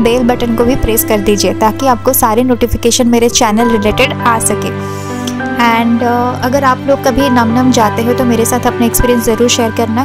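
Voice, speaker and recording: A young woman with a high voice gives a chatty food vlog review, slipping English words in and pausing often on "uh". Music plays clearly beneath her voice. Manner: chatty, mixes English words into Hindi, frequent "uh" pauses. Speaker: food vlogger. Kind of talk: casual restaurant review voice-over. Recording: music playing under the voice.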